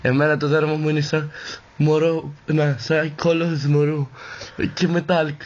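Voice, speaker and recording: Man as a sassy, high-voiced vulgar woman. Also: little pitch movement, darkish tone, lightly acted. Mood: mocking, sassy. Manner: campy, swooping, crude, exclaiming. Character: sassy, vulgar, vain female character in a parody. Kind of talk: amateur comic parody dub. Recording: muffled, noisy home recording.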